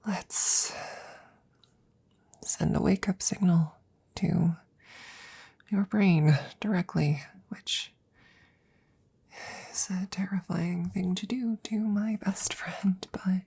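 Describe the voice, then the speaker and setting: A woman performs a tender, anxious female scientist in a cyberpunk audio drama. Her voice is low and soft-toned, with a husky, breathy whisper and some creak. She speaks haltingly, muttering and repeating herself as she nervously tends to her best friend. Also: steady tone, full character voice. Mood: frightened, hesitant.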